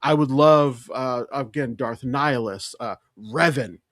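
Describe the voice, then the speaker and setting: Guy on a podcast, medium pitch, super into it, opinionated casual rambling, dry close mic. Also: measured pace, a bit bright, no room sound. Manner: emphatic, opinionated fan explaining lore. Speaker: podcast co-host. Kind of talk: casual enthusiastic chat.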